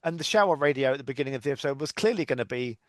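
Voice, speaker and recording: A man's voice: mid-range voice, lively intonation, racing, dry recording, clean studio sound. A man with an English accent hosting a podcast in a casual, chatty review style. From England. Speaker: podcast host.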